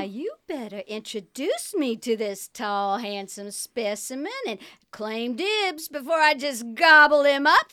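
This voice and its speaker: An actress playing a flirty, macabre Southern country cousin in a radio comedy, with a folksy, exclamatory Southern drawl. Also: female voice, sits high, pushed and bright, exaggerated voice. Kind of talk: live radio comedy acting.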